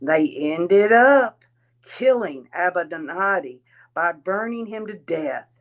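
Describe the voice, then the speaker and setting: A woman reading a written text aloud in a halting way, breaking phrases into short pieces. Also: on the low side. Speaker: reader of a written account. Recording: dull, lo-fi sound.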